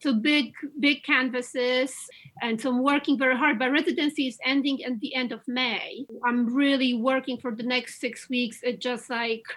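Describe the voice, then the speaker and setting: A woman's voice: sits high, steady tone, moderate pace, a bit bright, low-quality recording. A woman from Poland with a Polish accent, a painter talking as an interview guest, enthusiastic and gushing, often restarting her sentences. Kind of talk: casual interview chat.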